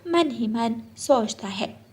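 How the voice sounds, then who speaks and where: A woman's voice: on the high side, animated, takes it slowly, darkish tone, husky. A woman reading scripted narration for a children's story.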